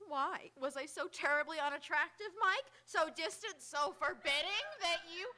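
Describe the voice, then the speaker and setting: A woman's voice: high-pitched, moderate pace, pushed and bright. A young woman playing a proud, wry, emotional society bride in live radio theatre. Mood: indignant, cutting.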